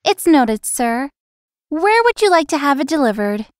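A woman's voice: high-pitched, very animated, moderate pace, dry recording, clean studio sound. A woman playing an anxious, chatty young florist in a visual novel, talking expressively to herself and others. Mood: polite. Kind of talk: visual novel voice acting, inner monologue.

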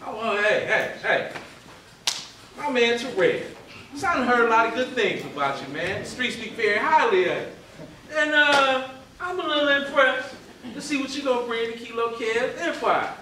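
A man's voice: extremely high pitch, expressive, normal speed. A middle-aged man on stage playing a swaggering, intimidating drug boss and father, speaking in an emphatic, projected way. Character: menacing swaggering drug boss and father, testing his crew. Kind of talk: stage play acting. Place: indoor theater stage. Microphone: far camera. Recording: echoey theater stage, distant mic, noisy.

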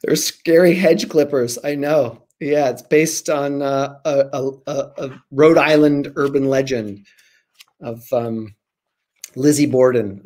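An American man in his forties, a children's author with an animated, theatrical way of talking, on a video call from home. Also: mid-range voice. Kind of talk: dramatic storybook reading and casual chat. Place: small room at home. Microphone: laptop mic on video call.

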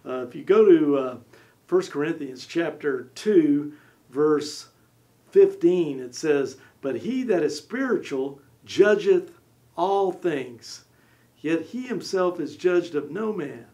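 A fairly high-voiced man in his forties, with a gravelly edge to his voice, talks about scripture from a small studio set. He gestures as he speaks and now and then acts out short quotes in little voices. His delivery is lively and conversational. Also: takes it slowly. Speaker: co-host. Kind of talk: animated Bible discussion.

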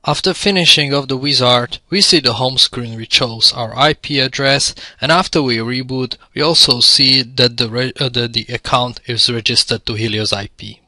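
A man's voice: medium pitch, normal speed, few pauses, pushed and bright, no echo. A man narrating an instructional product demo in a friendly, step-by-step explanatory way. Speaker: tutorial presenter.

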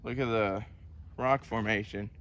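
A man's voice: fairly low pitch, steady tone, unhurried, a bit bright, highs cut off. A young man with a West Virginian accent, narrating a casual hiking vlog outdoors on a wooded trail, easygoing and chatty. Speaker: vlogger.

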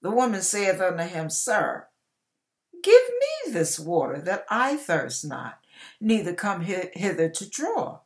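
An older woman in about her sixties gives a dramatic Bible reading as a preacher, emphatic and deliberate, stretching words and pausing between phrases. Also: mid-range voice, wildly swooping pitch. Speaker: online preacher reading scripture aloud. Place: small indoor room with curtain behind.

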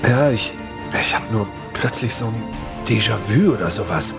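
A man's voice: on the low side, lively intonation, normal speed, creaky. An actor playing a brooding, earnest young male spaceship captain in a sci-fi audio drama. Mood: concerned. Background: music.

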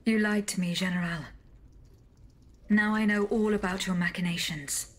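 Actress as husky, composed female investigator. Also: medium pitch, steady tone, natural voice. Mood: stern, confronting. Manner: measured, formal, blunt. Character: composed, accusing female agent confronting a general. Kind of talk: video game voice acting.

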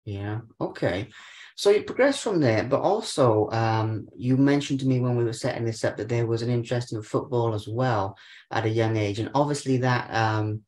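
Young man, host, long hedging questions, webcam video call, medium pitch. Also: moderate pace, a little mellow. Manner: rambling, hedging questions with fillers. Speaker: interviewer, podcast host. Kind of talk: interview questions. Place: living room sofa, indoors.

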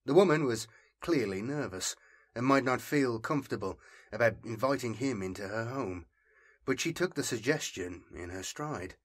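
A man's voice: medium pitch, lively intonation, moderate pace, slightly rough. A man narrating scripted fiction as an audiobook reader, telling the story dramatically.

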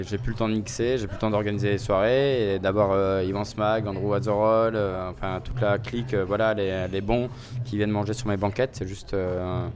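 A man in his forties with a fairly low voice talks about his restaurant in an easy, halting way, filling pauses often. He speaks into a handheld mic inside a small restaurant. Music plays clearly behind him. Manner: relaxed, hesitant with many fillers. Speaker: restaurant owner. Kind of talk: casual interview. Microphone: handheld reporter mic.

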